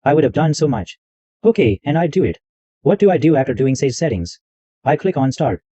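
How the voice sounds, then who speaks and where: A man hosting a screen-recorded software tutorial, narrating every click step by step in loose, repetitive wording. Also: a little high, big pitch swings, fairly quick, slightly rough, no echo. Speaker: tutorial host. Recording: dull lo-fi dry mic sound.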